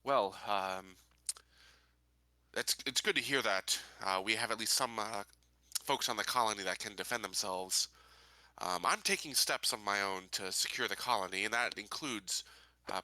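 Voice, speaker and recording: A man playing a tabletop roleplaying game, talking casually with hesitant, measured phrasing. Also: fairly low pitch, bright, forceful tone, no echo, rough recording. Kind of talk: casual in-character roleplay chat.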